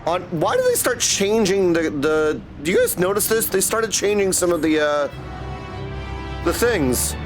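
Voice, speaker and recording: A man's voice: sits high, big pitch swings, unhurried. A man livestreaming a game, chatting casually and in slang with his viewers. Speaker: game streamer. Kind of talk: casual livestream gameplay commentary. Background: game music.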